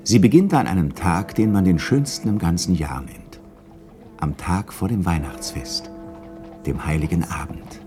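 An actor playing a measured, atmospheric storyteller, narrating a German 1960s radio play. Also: male voice, sits low, expressive. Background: music.

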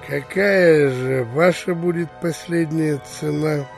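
An actor playing a bearish, crafty, stubborn landowner who grumbles and wheedles in an audio drama. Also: male voice, fairly high pitch, slow, gravelly, soft background music. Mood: grumbling, resigned. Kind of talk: theatrical audio drama acting.

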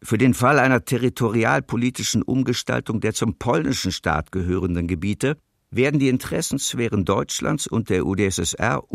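A formal, detached official reads out a document in a documentary radio play. The voice is low with a creaky grain, recorded very dry. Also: male voice, no echo. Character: formal male official reading a secret treaty protocol.

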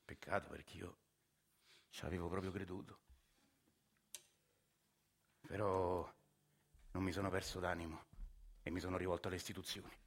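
A man with a Roman accent narrating a theatrical monologue in a low, quiet storytelling voice. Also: little pitch movement, normal speed, pauses often, husky. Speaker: stage actor narrating.

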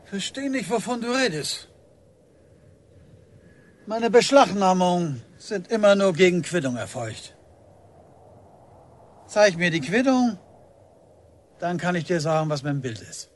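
A man's voice: high-pitched, expressive, unhurried, pauses often. A man acting in a radio drama as a stern, stubborn middle-aged village policeman and father, curt, defensive and menacing. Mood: curt, dismissive.

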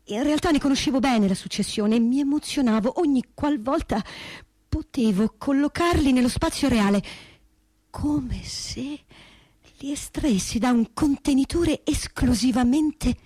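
A woman's voice: high voice, unhurried, a little mellow, slightly rough, dry recording. A woman reading a first-person memoir aloud in a reflective literary style. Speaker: stage reader.

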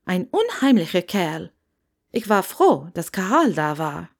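A woman's voice: lowish voice, very animated, unhurried, full character voice. Chatty, nosy innkeeper woman, put on by a narrator. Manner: talkative, exclaiming, inquisitive.

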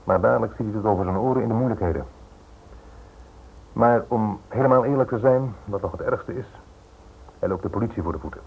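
A man playing a courteous Scotland Yard police inspector in a radio play, measured and politely firm as he warns. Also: lowish voice, creaky, breathy edge. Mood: firm warning. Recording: tinny, noisy old radio play recording.

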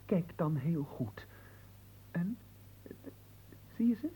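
A mellow, theatrical lovelorn manservant. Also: male voice, on the high side, animated, takes it slowly, slightly rough, full character voice, low-quality recording. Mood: plaintive, pleading. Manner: melodramatic, poetic, stammering. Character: lovelorn young manservant, florid, evasive, theatrically sorrowful. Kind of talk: radio play acting.

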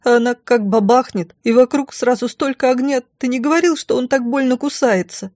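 A woman's voice: high voice, fairly quick, darkish tone, no echo, clean studio sound, voice pushed up, lightly acted. A woman voicing a frightened young prince, a small boy with a faltering, childlike way of speaking, in an audiobook. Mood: frightened, guilty.

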